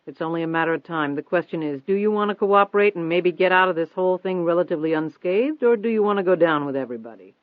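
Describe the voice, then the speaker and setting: A man voicing a persistent, confident newspaper reporter in an audiobook, steady, probing and insistent. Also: a little high, brisk, no room sound, lightly acted. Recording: thin phone-like band-limited audio.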